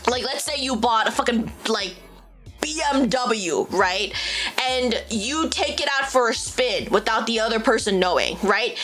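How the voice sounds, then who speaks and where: Young female streamer, bright-pitched and expressive, chatting over faint background music. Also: measured pace. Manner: animated, exclaiming, swearing, laughing often. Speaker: VTuber streamer. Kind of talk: casual live-stream reading and chat.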